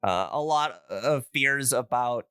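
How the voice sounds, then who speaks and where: Bright, clear-voiced man explaining things casually. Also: medium pitch, expressive, no echo, clean studio sound. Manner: halting, digressive explainer. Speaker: podcast co-host explaining radio history. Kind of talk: casual podcast discussion.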